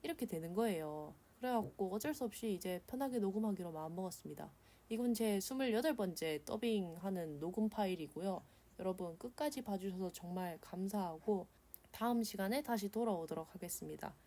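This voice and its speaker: A woman's voice: medium pitch, expressive, brisk, mellow. A woman with a regional South Korean dialect, giving a casual drawing tutorial voice-over in a blunt, chatty, colloquial way. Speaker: art tutorial host.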